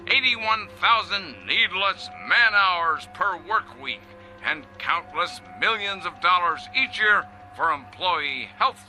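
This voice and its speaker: A self-satisfied factory boss in a radio play. His voice is strikingly bright and a little high, with lively swings in pitch. He pitches like a showy salesman, pausing grandly and pompously as he addresses his shareholders. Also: heavily acted, low-quality recording. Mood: gloating, triumphant. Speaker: company head addressing shareholders. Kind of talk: radio drama acting as a corporate video presentation.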